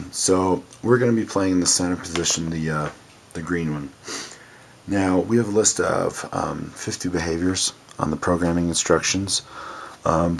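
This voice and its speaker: A hoarse man casually demonstrating a light controller, rambling with many ums and sounding enthusiastic. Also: low-pitched, a little hiss or hum. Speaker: product demonstrator. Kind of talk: casual product demo.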